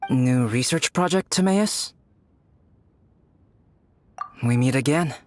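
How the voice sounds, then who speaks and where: An actor playing a cool, scholarly young male alchemist in a video game, composed, measured and gently teasing. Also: medium pitch, animated, slight background noise, close to an everyday voice. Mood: calm.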